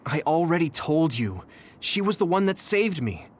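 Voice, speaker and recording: Young male soldier hero, lightly high voice, very lively intonation, gentle, sincere, game dub. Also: moderate pace, a little hiss or hum. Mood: confused, probing. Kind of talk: English video game dub acting.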